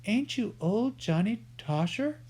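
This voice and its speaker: A reader voicing a friendly, hard-of-hearing old male rat catcher who talks loudly and rambles on. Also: medium pitch, expressive, slow pace, husky, pitched above the performer's own voice. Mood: hearty, half-deaf. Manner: shouts to be heard, garrulous.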